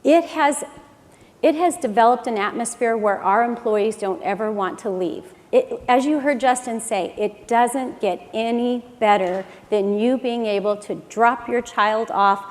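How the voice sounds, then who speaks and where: A woman's voice: a little high, unhurried. Earnest woman speaking in a reverberant hall. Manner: earnest, upbeat, some restarts. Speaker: employer presenting at a conference. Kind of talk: prepared conference talk, then answering a question. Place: large conference hall.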